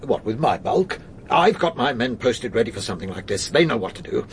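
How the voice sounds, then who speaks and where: An actor playing a shrewd, bulky chief inspector in a British radio drama, with an English accent and a gruff, knowing manner. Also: male voice, medium pitch, big pitch swings, fairly quick, a little hiss or hum, lightly acted. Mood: urgent. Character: shrewd, bulky male police chief inspector, blunt and assured.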